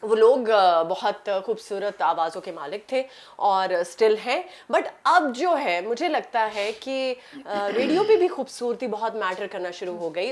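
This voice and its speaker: A Pakistani woman answers interview questions in a fairly high voice. She is chatty and thinks aloud, sliding in and out of English. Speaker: guest, radio broadcaster.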